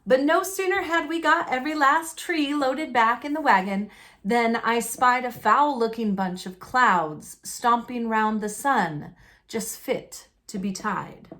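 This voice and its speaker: A woman reading a children's picture book aloud in an animated, folksy storytelling manner. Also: fairly high pitch, a little slow. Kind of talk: expressive read-aloud narration of a children's book.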